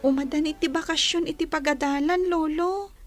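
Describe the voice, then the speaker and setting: Woman acting a very high-pitched wistful young schoolgirl. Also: steady tone, moderate pace, soft background music, natural voice. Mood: earnest. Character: wistful homesick young granddaughter, a village schoolgirl. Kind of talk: Ilocano radio drama acting.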